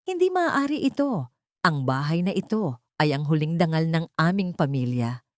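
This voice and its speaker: A woman's voice: low-pitched, big pitch swings, moderate pace, dry recording, clean studio sound. A woman with a Filipino accent voicing a proud, wealthy matriarch in an audio story, distraught and pleading. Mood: alarmed, distraught.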